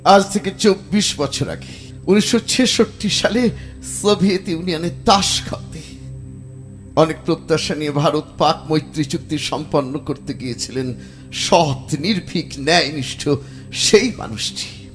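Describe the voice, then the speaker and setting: A man playing an earnest, wounded professor and writer in an audio drama, speaking in a grave, dramatic, deliberate way. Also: a little high, soft background music, lightly acted. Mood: solemn, earnest. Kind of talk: dramatic audio story narration and dialogue.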